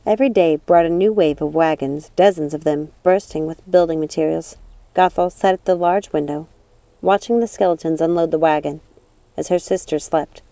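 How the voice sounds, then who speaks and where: A woman reading scripted storybook narration in a measured, expressive manner. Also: deep voice, dry recording. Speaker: audiobook narrator.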